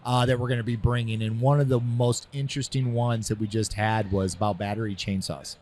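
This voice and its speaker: A man's voice: medium pitch, fairly even intonation, fairly quick, darkish tone. A man hosting a podcast, joking and pushy in easy banter. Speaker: podcast host. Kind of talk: casual podcast interview.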